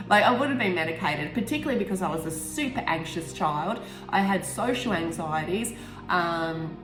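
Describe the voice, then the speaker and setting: A woman in her forties with an Australian accent, chatting casually and candidly as an author reflecting on her own writing, often rambling and interrupting herself. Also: lowish voice. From Tasmania, Australia. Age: 40s. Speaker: author reading and discussing own book. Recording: roomy echo, music behind. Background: music.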